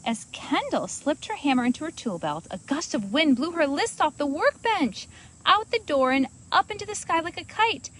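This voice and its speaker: A woman reading a children's storybook aloud as host, in an expressive, animated manner for young listeners. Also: high voice, moderate pace, poor audio.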